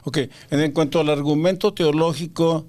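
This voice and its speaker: Smooth-voiced man hosting a podcast. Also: on the high side, fairly even intonation, moderate pace, a little mellow. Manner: probing, interrupts to challenge. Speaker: podcast host. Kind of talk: casual conversational interview.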